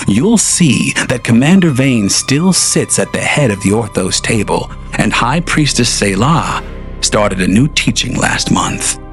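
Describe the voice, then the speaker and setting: A man narrating a scripted audio drama in a slow, solemn, deliberate way. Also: on the low side. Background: faint music.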